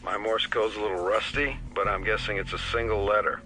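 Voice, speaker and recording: A man's voice: on the low side, fairly even intonation, a little fast, bright, forceful tone, slight background noise, rough recording. An actor playing a wry, dogged male FBI investigator in an audio drama, with a dry, wisecracking manner. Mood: focused.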